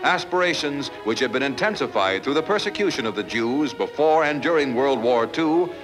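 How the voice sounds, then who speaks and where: A man's voice: mid-range voice, expressive, normal speed, low-quality recording. A man narrating a mid-century newsreel in a formal, declamatory scripted style. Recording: archive newsreel, music underneath. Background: music.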